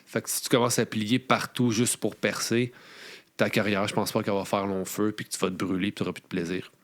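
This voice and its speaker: A man's voice: on the low side, level tone, fairly quick. Informal Quebec French male podcast host. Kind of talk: casual chat.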